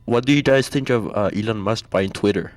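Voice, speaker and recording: A man's voice: lowish voice, a little fast, no echo. A young man calling into a livestream, goofy and joking, making silly puns. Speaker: caller.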